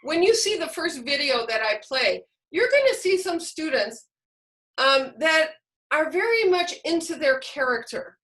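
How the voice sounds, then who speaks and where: Female instructor, bright tone, unhurried and halting, talking informally through a lesson. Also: sits high, sing-song, low-quality recording. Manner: halting, searching for words. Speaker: teacher leading a training session.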